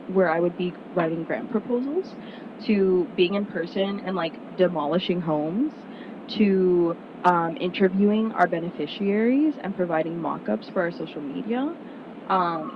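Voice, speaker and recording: A young woman speaks to a room of prospective students in an earnest, chatty way, leaning on "like" and "um" as she goes. Her voice sits a little low for a woman. The recording is muffled, with a clear steady rumble underneath. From Miami, United States. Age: young adult. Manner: earnest, conversational, frequent "like" and "um" fillers. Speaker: student speaker at an information session. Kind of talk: casual presentation to prospective students.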